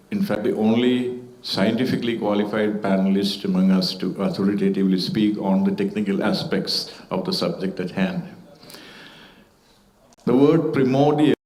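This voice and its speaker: A man's voice: fairly low pitch, darkish tone. Guy on a festival panel, careful formal speech, bit of um and uh, echoey hall sound. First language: Malayalam. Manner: measured and formal, with occasional hesitations. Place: auditorium at a literature festival.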